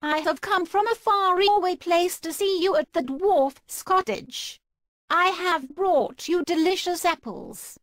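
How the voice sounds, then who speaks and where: A woman's voice: very high voice, slow pace, fairly bright tone, no echo, clean studio sound, voice pushed up, heavily acted. A woman voicing a sly, coaxing old woman in an amateur fairytale storybook.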